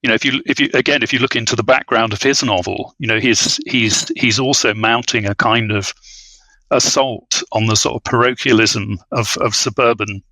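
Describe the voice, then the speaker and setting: Bright-voiced man, halting and scholarly. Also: medium pitch, fairly even intonation, no room sound, low-quality recording. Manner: halting, repeating words as he forms ideas. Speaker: literary scholar guest on a podcast. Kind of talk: unscripted analytical podcast discussion.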